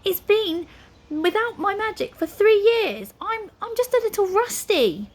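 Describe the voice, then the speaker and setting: Woman acting a high-pitched, flustered pompous wizard. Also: expressive, a little slow. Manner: hesitant, formal, exclamatory. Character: pompous, flustered male wizard, rusty at magic.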